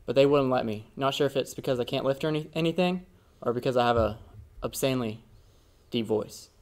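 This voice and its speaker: A young male student anchor reads the school announcements from a small studio desk. His voice sits in a middle range, and he drops in deadpan jokes between items. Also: mid-range voice, brisk. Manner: joking, deadpan asides. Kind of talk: school morning news broadcast. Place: indoor studio before green screen.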